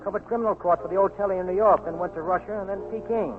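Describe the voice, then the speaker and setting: Guy acting a tough cocky american newsman, quick talker, slightly high voice, old radio drama sound. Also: fairly even intonation, music in the background, natural voice. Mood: wry, probing. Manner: clipped, cocky, rapid-fire. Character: brash, wisecracking American newspaper editor, tough and stubborn. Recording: tinny, band-limited 1940s radio recording.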